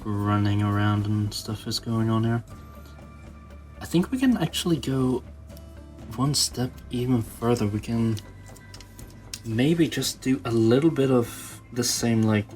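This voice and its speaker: A man's voice: fairly low pitch, a little mellow. A man giving a casual hobby tutorial, thinking aloud informally as he works. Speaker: toy customizer presenting own channel.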